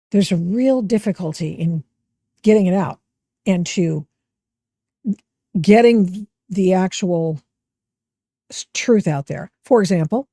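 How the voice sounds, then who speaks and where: A woman's voice: mid-range voice, dark tone, no room sound, clean studio sound. A middle-aged woman hosting a podcast interview, chatty and emphatic, with frequent restarts. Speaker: podcast host. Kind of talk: casual interview chat.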